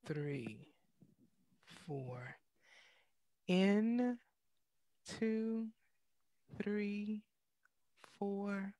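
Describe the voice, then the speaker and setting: Slow, measured American woman hosting. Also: lowish voice, a bit bright, no echo. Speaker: talk show host. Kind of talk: guided breathing and show hosting. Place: indoor room with bookshelves, video call. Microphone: headset.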